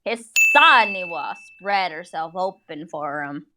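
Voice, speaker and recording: A woman's voice: fairly low pitch, expressive, slow pace, very noisy. A woman giving a mocking, playful dramatic reading with giggly, exaggerated delivery and casual asides. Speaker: reader in a group dramatic reading.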